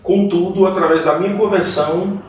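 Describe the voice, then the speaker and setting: Male pastor, earnest testimony, mid pitch; recorded off-mic in a very echoey room. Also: fairly even intonation, moderate pace, distant mic. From Salvador, Bahia, Brazil. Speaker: guest pastor. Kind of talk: podcast interview, personal testimony.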